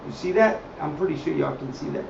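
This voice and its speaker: A man with a high voice teaches scripture and history off the cuff. He is emphatic, repeats his phrases and keeps checking in with listeners. The mic sounds far away in an echoing space. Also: fast, vocal fry. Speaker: teacher of a religious group. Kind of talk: improvised scripture and history lesson. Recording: echoey, distant mic, dull, faint noise.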